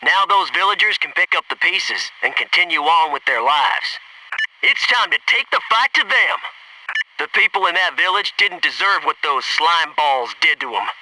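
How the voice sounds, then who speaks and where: Bright, high-pitched, cocky American soldier. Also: male voice, lively intonation, normal speed, far from the mic, a little hiss or hum, low-quality recording. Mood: grim, scornful. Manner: cocky, folksy, punchy delivery. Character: brash, wisecracking young American Navy SEAL soldier. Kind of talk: military shooter game voice lines.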